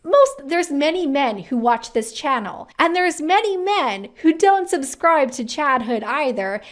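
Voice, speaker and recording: A woman giving casual, opinionated commentary as a career advice host, talking in an animated, emphatic way with rhetorical questions. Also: sits high, unhurried.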